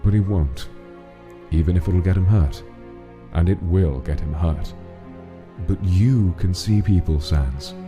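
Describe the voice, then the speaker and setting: A man voicing a gentle, solemn, fatherly giant monster king in a comic dub, speaking in a formal, measured way. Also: low voice, music in the background. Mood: grave encouragement.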